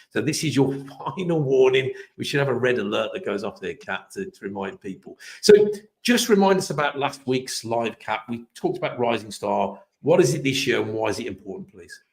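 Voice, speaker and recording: A man with a slightly hoarse voice hosts a live online show. He talks in a chatty, upbeat way, rambling and restarting his sentences as he goes. His voice sits at a middle pitch. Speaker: host. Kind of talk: live webcast chat.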